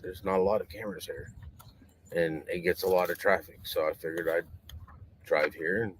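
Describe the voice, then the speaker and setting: A man's voice: low voice, steady tone, normal speed. A tired man giving informal interview answers outdoors in a park. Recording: faint outdoor background noise.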